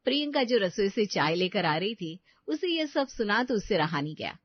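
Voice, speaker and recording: A woman's voice: mid-range voice, very animated, moderate pace, no room sound, dull audio. Woman narrating a story, dry sound.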